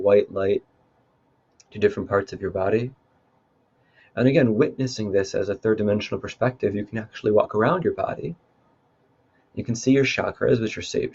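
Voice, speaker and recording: A man leading a guided meditation in a calm, unhurried, instructive way. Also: on the low side, muffled recording. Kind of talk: guided meditation, spoken softly to listeners.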